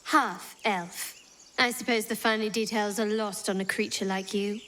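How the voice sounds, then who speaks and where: Young half-elf adventurer, voiced by a woman: mid-pitched, guarded, dryly cutting, game dialogue with faint birdsong. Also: fairly even intonation, moderate pace, a bit bright, slight background noise, close to an everyday voice. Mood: wary, cutting. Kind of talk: video game acting.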